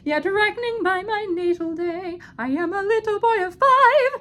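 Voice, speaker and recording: A woman's voice: very high voice, animated, normal speed, pushed and bright, pitched above the performer's own voice, exaggerated voice. A woman voicing a bewildered, earnest young pirate apprentice in a quaint, singsong puppet-show recitation. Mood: bemused singing.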